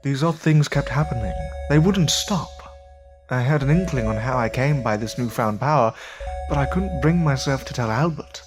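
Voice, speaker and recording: A man playing a troubled, earnest gentleman in a period audio drama, speaking formally and hesitantly. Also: mid-range voice, lively intonation, moderate pace, over music, lightly acted. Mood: remorseful. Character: troubled, earnest gentleman haunted by newfound powers. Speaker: voice actor and narrator. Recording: noisy amateur audio drama recording.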